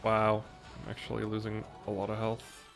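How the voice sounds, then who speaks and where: A man's voice: fairly low pitch, completely flat delivery, normal speed, gravelly. A man from the Netherlands whose first language is Dutch, chatting casually in commentary while playing as a speedrunner, with a pronunciation mixing British and American. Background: game music.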